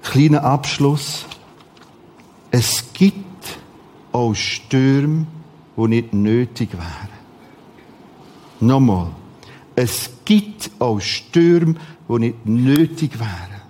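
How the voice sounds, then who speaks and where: A man with a Swiss German accent preaching a sermon in short, repeated, emphatic phrases, with a husky voice. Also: medium pitch, expressive, very slow, pauses often, mellow.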